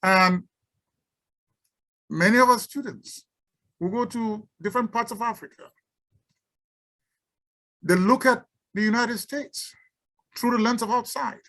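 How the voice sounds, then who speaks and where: A Cameroonian woman speaks unscripted at an academic symposium over a video call, her voice sitting a little high. She talks haltingly, often stumbling over a word and repeating it before pushing on. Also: a bit bright. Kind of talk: unscripted talk and Q&A.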